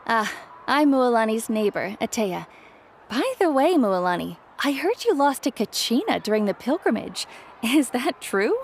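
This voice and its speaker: A woman's voice: on the high side, sing-song, moderate pace, slight background noise. A woman playing a friendly, teasing but firm auntie-like neighbor in an anime-style video game, speaking with familiar ease.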